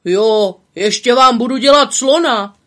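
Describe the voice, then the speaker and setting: A woman's voice: on the high side, fairly bright tone, lightly acted. Woman doing a brash, bossy little bug. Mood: cheerful. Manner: brash, teasing, showy. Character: bossy boastful little male bug, playful.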